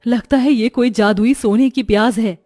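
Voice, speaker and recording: A woman playing a kind village mother and wife in a cartoon story, speaking in a gentle, earnest way. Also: a little high, steady tone, normal speed, no room sound, studio quality, soft background music, natural voice. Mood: amazed.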